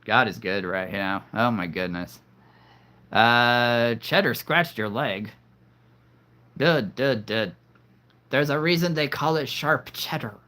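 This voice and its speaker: A man's voice: medium pitch, takes it slowly, long pauses, bright, forceful tone. Jokey man rambling on a live stream. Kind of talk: casual live-stream chat.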